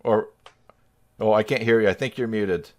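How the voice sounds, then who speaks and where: Low-voiced man hosting casually. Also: normal speed. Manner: joking, chuckling. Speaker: host of a live stream. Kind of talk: casual group chat on a video call. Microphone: video call.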